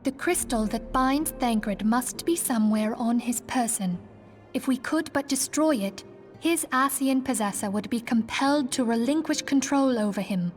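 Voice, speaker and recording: A woman playing a gracious, earnest young leader of a fantasy order, speaking in formal, archaic, measured diction in a video game cutscene. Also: fairly high pitch, faint music behind, natural voice. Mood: grave.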